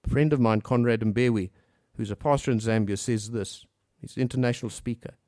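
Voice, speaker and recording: A man from Africa preaching a sermon earnestly, posing rhetorical questions and swinging between hushed and emphatic delivery. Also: mid-range voice, a little fast, dry recording, studio quality.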